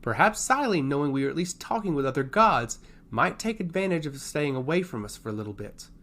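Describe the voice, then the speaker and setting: A man voicing a stoic woman advisor, composed, deferential and gently persuasive, in a fantasy audio story. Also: on the high side, animated, a little fast. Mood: calm persuasion. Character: calm, stoic female advisor to a lord.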